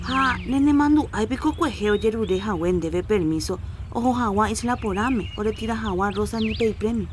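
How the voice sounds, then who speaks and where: A supportive rural Paraguayan mother with a medium-pitched voice , acted by a woman. The scene is radio soap opera dialogue with faint outdoor noise and birds. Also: normal speed, natural voice.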